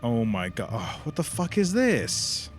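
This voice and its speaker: A man's voice: medium pitch, wildly swooping pitch, measured pace. A man casually commentating his gameplay with slangy swearing and an impatient, exasperated manner. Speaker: live streamer playing a game. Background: video game music.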